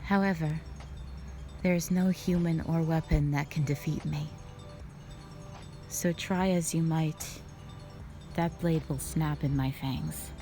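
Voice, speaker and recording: A woman playing a stern, calm, duty-bound dragon guardian, speaking formally and solemnly in a scripted roleplay audio drama. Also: low voice, soft background music. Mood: calm, proud. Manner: measured, solemn, formal phrasing.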